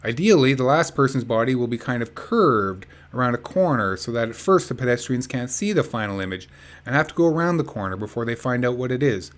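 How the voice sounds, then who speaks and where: A man's voice: mid-range voice, lively intonation, fairly quick. A man reading a book aloud in an informal, chatty way with casual asides. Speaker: reader of an activist book.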